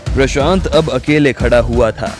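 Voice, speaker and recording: Male audio-story narrator over soft music. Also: medium pitch, unhurried. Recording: music bed under the voice.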